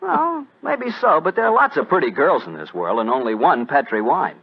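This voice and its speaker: An American man announcing on 1940s radio, with an animated, emphatic delivery. He is chatty and folksy, selling like a sponsor's pitchman over muffled vintage broadcast sound. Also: brisk, gravelly, slightly rough.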